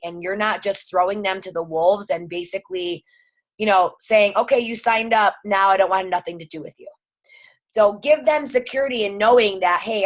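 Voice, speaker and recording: A woman's voice: medium pitch, fairly bright tone. A woman leading an informal training on a group call, instructive and emphatic, repeating her key points. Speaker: sales team trainer. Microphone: online group call.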